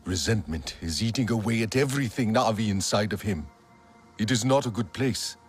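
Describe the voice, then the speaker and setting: Game casting: man as a brooding, vengeful alien warrior, very deep, formal and deliberate delivery. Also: soft background music, natural voice. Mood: brooding, resolute. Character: grave, vengeful male Na'vi alien warrior. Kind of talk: video game character acting.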